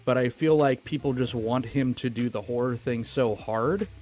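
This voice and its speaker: Male film podcast co-host, mid-pitched, casual and blunt, cursing freely over faint music. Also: moderate pace, darkish tone. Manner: profane, opinionated.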